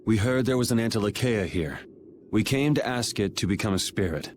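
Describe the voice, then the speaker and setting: An actor playing a blunt, determined young hero with a casual, direct way of talking in a video game cutscene. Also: male voice, on the low side, animated, fairly quick, slight background noise, natural voice.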